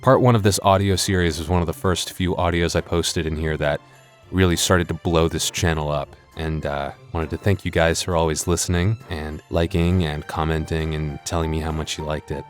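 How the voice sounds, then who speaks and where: A man's voice: extremely low pitch, fairly even intonation, moderate pace. A man hosting his audio channel, chatting casually to listeners with wry, self-deprecating humor. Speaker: ASMR audio creator and channel host. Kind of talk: casual rambling outro chat to listeners. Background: music in the background.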